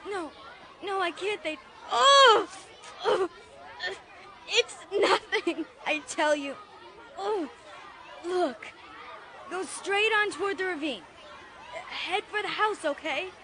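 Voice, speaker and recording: A very high, eager boy's voice from an audio drama. He is exclamatory and childlike, speaking at a slow pace amid outdoor noise and crowd sound. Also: lively intonation, pushed and bright, exaggerated voice. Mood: strained, insistent. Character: excitable young boy out trick-or-treating with his gang. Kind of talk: dramatized audiobook radio play.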